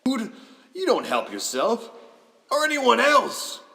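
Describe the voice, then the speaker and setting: A man's voice: fairly high pitch, big pitch swings, unhurried, gravelly, a bit bright, pitched above the performer's own voice, exaggerated voice. A man voicing a jovial, boastful giant Christmas ghost who declaims and slips into slang, in a storybook drama. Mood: chiding. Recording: long hall reverb.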